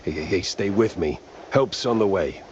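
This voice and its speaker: Deep-voiced, hardboiled noir mouse detective. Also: male voice, lively intonation, normal speed, muffled recording, noisy background. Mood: tense. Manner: dry, wisecracking noir delivery. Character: hardboiled, world-weary cartoon mouse private detective. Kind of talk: 1930s noir cartoon game acting.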